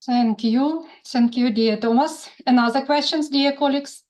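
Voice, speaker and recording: A woman moderating an online meeting, asking questions in a slow, polite and formal way. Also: high voice, darkish tone.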